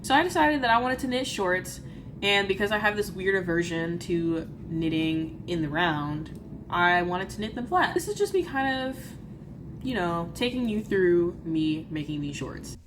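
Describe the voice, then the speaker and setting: A woman's voice: lowish voice, expressive, moderate pace, background noise. A woman with a nasal, congested voice from a cold, chatting playfully and poking fun at herself while vlogging about her crafting. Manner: chatty, playful and self-mocking. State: congested, stuffy-nosed from a cold. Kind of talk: casual vlog chat and voice-over.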